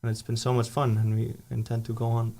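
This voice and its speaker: A man, the band's founder, talking in a relaxed, unhurried way in a radio studio. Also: medium pitch, creaky, slight background noise. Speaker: band founder guest. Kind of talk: casual interview chat.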